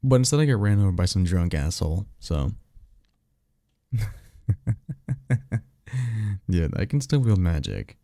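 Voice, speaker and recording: A man acts out a cocky vampire mentor for a roleplay audio, speaking in a deep, gravelly voice right up in a dry recording. He is easygoing and teasing, with slangy, conversational phrasing and the offhand confidence of a former archmage. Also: sing-song, unhurried, pauses often, mellow, no room sound, exaggerated voice. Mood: wry, reflective.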